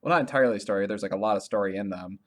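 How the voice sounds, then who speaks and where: A man's voice: on the low side, very fast. A man co-hosting a casual gaming podcast, informal and reactive, often asking questions. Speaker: podcast co-host. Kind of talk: casual video game chat.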